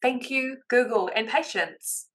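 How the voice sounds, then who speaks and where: Kiwi woman guest on a podcast, bright tense-ish voice, upbeat and cheering listeners on. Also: fairly high pitch, unhurried. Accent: New Zealand. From New Zealand. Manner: upbeat and encouraging. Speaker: guest, confidence coach. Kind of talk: casual podcast interview.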